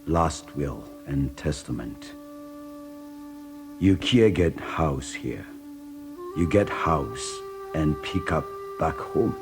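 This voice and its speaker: An actor playing a wise, protective old Okinawan karate master in an audio drama, with a Japanese accent and terse broken English. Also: male voice, a bass voice, animated, drawn-out words, pauses often, music in the background, heavily acted. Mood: calm, resolute.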